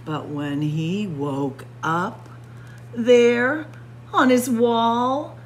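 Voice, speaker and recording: A woman reading a picture book aloud in a dramatic, suspenseful story-time style, sometimes hushed for emphasis. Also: a little high, slight background noise. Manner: suspenseful, expressive, drops to hushed whispers for emphasis. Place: indoor library room with bookshelves and windows.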